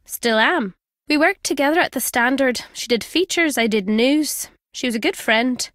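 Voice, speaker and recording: A woman voicing a guilt-ridden, earnest middle-aged former journalist in a video game, in a measured, confessional way. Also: high-pitched, lively intonation, fairly bright tone, no room sound, studio quality. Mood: earnest, regretful. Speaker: voice actor.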